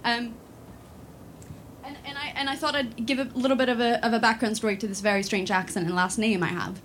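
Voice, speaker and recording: A woman's voice: a little high, fairly even intonation, brisk, a bit bright, slight background noise. A woman in her twenties from Sweden, talking with many fillers and restarts, then reciting a spoken word poem built on repeated refrains. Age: 20s.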